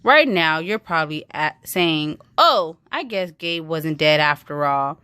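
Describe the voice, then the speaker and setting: A woman reads a children's book aloud. Her voice sits low for a woman, and she takes the story at an unhurried, slow pace, narrating it as a read-aloud. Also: animated, bright, forceful tone, smooth.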